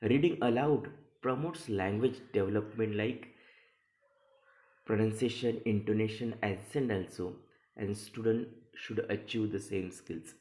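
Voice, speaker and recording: A man's voice: lowish voice, a little slow, dark tone. A man giving an instructional English lesson, explaining didactically and restating key phrases as a teacher. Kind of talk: instructional lecture, reading and explaining an assignment. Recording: echoey room sound.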